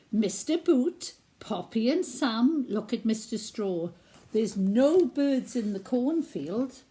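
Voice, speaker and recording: Female children's storyteller: unhurried, very expressive, sing-song read-aloud that invites small listeners in. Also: fairly high pitch. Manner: animated, sing-song, prompting little listeners with questions.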